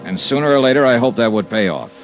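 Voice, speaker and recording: Actor playing a hard-boiled American investigator, very animated. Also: male voice, mid-range voice, fast talker, over music, close to an everyday voice, low-quality recording. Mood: terse narration. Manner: clipped, hard-boiled delivery. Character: tough, commanding insurance investigator, brisk and determined. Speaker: lead actor and narrator of a detective radio serial. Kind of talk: old-time radio drama acting. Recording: old-time radio recording.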